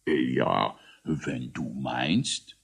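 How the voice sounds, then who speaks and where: A man voicing a dignified, benevolent ruler of little creatures in a children's story, measured and thoughtful. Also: fairly low pitch, lively intonation, sharp tone, exaggerated voice. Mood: quiet, doubtful. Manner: measured, regal, deliberate.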